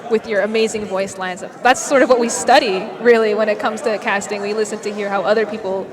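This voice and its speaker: A woman's voice: mid-range voice, steady tone, a little fast. A woman interviewing a guest at an esports event, friendly and a bit hesitant, with many fillers. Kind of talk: casual interview. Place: event venue with crowd. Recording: loud crowd behind.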